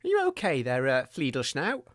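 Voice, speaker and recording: Chirpy, gullible co-host; very animated pitch, dry studio read. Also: male voice, a little fast, no room sound. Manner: chirpy, naive, excitable. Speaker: radio comedy co-host. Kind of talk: scripted comedy sketch.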